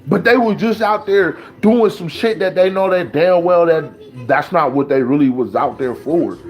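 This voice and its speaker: A man's voice: fairly high pitch, normal speed, highs cut off, soft background music. A man from Ohio, ranting casually in loose, slangy talk and preaching his views. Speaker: beatmaker talking to camera. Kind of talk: casual rant.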